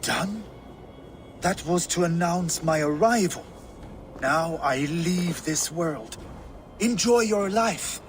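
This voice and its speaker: Proud old Norse warrior, higher-pitched. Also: male voice, animated, takes it slowly, long pauses, a little hiss or hum. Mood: calm, grateful. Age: older. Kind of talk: video game dialogue acting.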